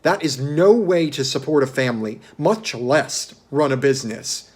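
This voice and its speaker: A man's voice: mid-range voice, expressive, normal speed, darkish tone, husky. Man acting a stern, haughty site boss. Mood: scornful. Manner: formal, scolding, haughty. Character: stern, contemptuous male building-site boss. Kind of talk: musical table read, dramatic stage acting.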